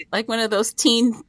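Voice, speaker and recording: A woman's voice: on the high side, fairly bright tone, dry recording. A woman co-hosting a casual book discussion, talking expressively and slipping into little imagined quotes. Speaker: podcast co-host discussing a book.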